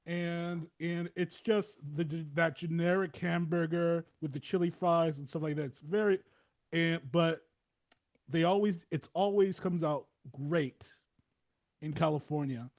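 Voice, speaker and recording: A man with a notably high voice and an American accent hosts a casual podcast conversation. He rambles conversationally, often doubling back and repeating phrases for emphasis. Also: steady tone, unhurried, darkish tone. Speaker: podcast host. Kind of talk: casual interview chat.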